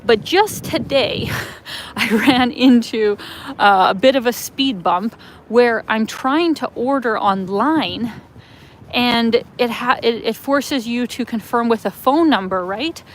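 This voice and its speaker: A woman's voice: on the high side, slow, slight background noise. A woman with a Canadian accent chatting in a rambling way, with many fillers, while she walks city streets on a live phone stream. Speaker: travel vlogger. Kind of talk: live walking-tour chat.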